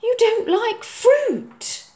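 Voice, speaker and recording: A woman voicing a patient, brisk mother in a storybook, coaxing and exclaiming. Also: very high voice, expressive, background noise, pitched above the performer's own voice, exaggerated voice.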